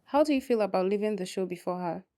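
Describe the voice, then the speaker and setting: A woman interviewer asking prepared questions in a steady, scripted manner. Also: medium pitch, fast, slight background noise.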